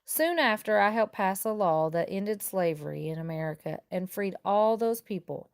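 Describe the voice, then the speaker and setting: A woman reading a children's picture book aloud like a teacher, with expressive, deliberate and emphatic phrasing. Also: medium pitch, smooth, no room sound, clean studio sound. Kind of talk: expressive children's storybook read-aloud in first person.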